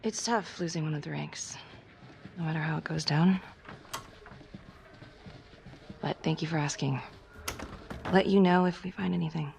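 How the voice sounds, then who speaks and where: Crime game homicide detective: determined, direct, lowish creaky voice, guarded delivery. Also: female voice, a little fast, darkish tone, a little hiss or hum. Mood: subdued. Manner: serious, direct. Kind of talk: cinematic crime game acting. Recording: dramatic music behind the voice. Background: music.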